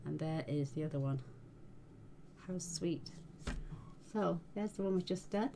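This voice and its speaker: Low, gravelly woman crafting. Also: measured pace, noisy background. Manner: chatty, homely, talks while working. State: croaky voice. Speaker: card-making channel host. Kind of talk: casual crafting tutorial.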